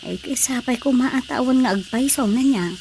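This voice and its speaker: A high-pitched, chatty village council woman who loves gossip and teasing. She talks with a Filipino accent in radio drama comedy style, over faint background noise. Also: normal speed, darkish tone. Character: gossipy, giggly female village council colleague.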